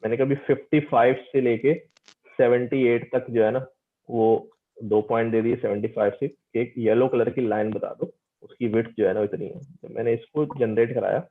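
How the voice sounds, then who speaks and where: A man's voice: medium pitch, fairly even intonation, normal speed. A man teaching an informal, interactive technical lecture, repeating key phrases and often checking that his students follow. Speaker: teacher giving an online lecture. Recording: tinny phone-like call audio.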